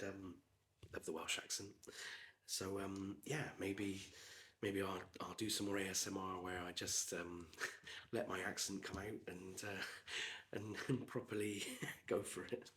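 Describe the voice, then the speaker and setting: A man in his forties with a soft Gloucestershire accent talks in a quiet, unhurried murmur, filling gaps with ums and now and then dropping to a whisper. His voice sits low and sounds a little hoarse. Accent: Gloucestershire English. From Gloucestershire, England. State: slightly hoarse. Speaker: ASMR creator. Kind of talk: soft-spoken ASMR chat while building.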